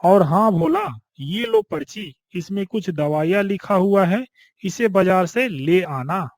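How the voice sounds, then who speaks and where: A narrator as a slow, measured village doctor. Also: medium pitch, lively intonation, dry recording, clean studio sound. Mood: firm, advising. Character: village doctor, man, firm and advising.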